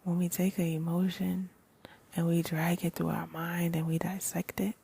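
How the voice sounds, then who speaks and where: Whispering breathy woman, low and gravelly. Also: little pitch movement, moderate pace. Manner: soft whispering, casual and swearing. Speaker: vlogger. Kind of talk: whispered ASMR-style self-help talk.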